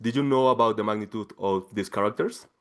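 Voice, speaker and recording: A man's voice: lowish voice, brisk, fairly bright tone. Male interviewer, eager. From Colombia. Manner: eager, effusive questions. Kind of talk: press junket interview.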